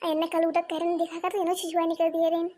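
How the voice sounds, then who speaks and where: Super high squeaky cartoon village wife, awadhi accent, always moaning and telling everyone off. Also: female voice, monotone, fast talker, warm tone. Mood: exasperated complaining. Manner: wailing, complaining, exclamatory. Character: loud, fretful, scolding village wife and mother. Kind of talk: village comedy cartoon dialogue.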